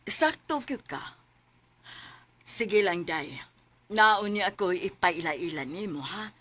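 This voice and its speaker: An actress playing a friendly older coworker and chatty matchmaker with a Cebuano accent in a radio drama. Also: female voice, fairly low pitch, animated, takes it slowly, a bit bright, heavily acted. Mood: chatty, encouraging. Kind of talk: Filipino radio drama acting.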